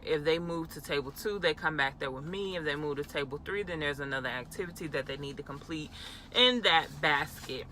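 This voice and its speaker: A woman's voice: low-pitched, pushed and bright. A young adult woman, a teacher, chatting casually in a vlog with frequent fillers and laughs. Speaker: elementary school teacher. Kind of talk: casual talking-head vlog.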